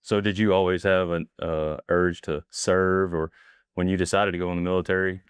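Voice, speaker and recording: Deep, smooth-voiced man hosting an interview. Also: normal speed, no echo. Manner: relaxed, short friendly prompts. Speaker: podcast host. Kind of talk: casual interview. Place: office in the state capitol.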